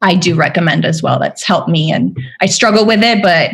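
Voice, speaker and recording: Earnest woman guest, halting speech. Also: fairly low pitch, animated. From Venezuela. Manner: earnest, many fillers and restarts. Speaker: podcast guest, spiritual guide. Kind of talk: casual interview chat.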